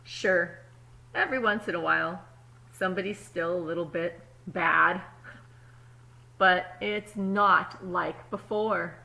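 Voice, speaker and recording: Slow-paced woman reading a storybook to children. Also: fairly low pitch, gravelly, poor audio. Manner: expressive, explains words simply and checks in with young listeners. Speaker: teacher reading aloud to children. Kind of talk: children's storybook read-aloud with asides.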